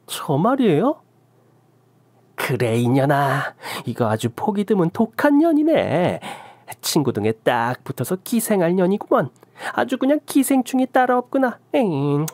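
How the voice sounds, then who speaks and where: A woman's voice: fairly low pitch, darkish tone, no room sound, pitched above the performer's own voice, exaggerated voice. A woman voicing a brash young female shaman who scolds in coarse, old-fashioned talk, in a dry recording. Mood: scornful. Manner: scornful, old-fashioned rough talk, drawn out.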